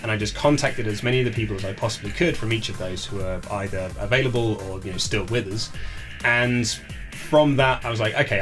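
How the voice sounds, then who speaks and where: A man being interviewed as an author, chatting casually and telling stories in a chatty way, often acting out conversations. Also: on the low side. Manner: chatty storyteller who acts out quoted conversations. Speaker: author being interviewed about his book. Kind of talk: casual chat, animated anecdotes.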